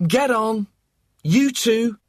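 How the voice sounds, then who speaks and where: A weary castaway in his sixties, voiced by a male narrator in a space comedy audiobook. He speaks slowly in gruff, terse grumbles, pitched above the storytelling voice, in a dry studio sound. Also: animated, no room sound, full character voice. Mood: insistent. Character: gruff, weary castaway man aged about sixty. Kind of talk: audiobook character acting.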